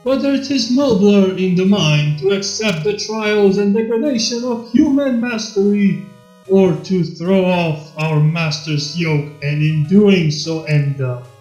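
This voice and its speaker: A man's voice: high voice, a little slow, darkish tone, roomy sound, dull audio, soft background music. A man voicing a theatrical robot who declaims a grandiose, anguished Shakespearean soliloquy in a fan fiction reading. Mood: grandiose, questioning. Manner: grandiose, declamatory stage delivery.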